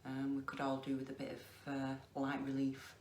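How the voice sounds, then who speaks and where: A middle-aged woman with a Lancashire accent, chatting casually to camera in a slow, deliberate way with many ums. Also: a bass voice, completely flat delivery, darkish tone. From England. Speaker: craft vlogger. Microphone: facing the camera. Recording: echoey room with faint noise.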